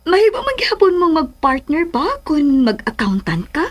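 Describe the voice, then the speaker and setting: A woman acting a concerned, gently teasing mother in a Cebuano radio drama, questioning in a dry way. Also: high-pitched, wildly swooping pitch, normal speed.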